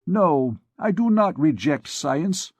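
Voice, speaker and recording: A gloomy, scholarly archdeacon given voice by a male narrator answers coldly and deliberately. The reading sits close and dry, with no echo. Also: a little high, sing-song, voice pushed up, exaggerated voice. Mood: fervent. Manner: cold, measured, grave. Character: gloomy, aloof middle-aged archdeacon scholar. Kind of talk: audiobook character reading.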